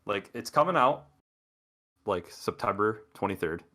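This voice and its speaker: Podcast co-host, male, deep and expressive, rambling and excitable. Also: a little slow. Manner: animated, rambling, with many restarts. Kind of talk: casual gaming chat.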